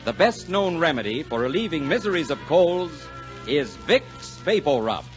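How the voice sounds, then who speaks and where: A man's voice: fairly high pitch, sing-song, moderate pace. A man announcing a sponsored program in a 1940s radio advertising style. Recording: muffled 1940s radio broadcast recording. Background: music.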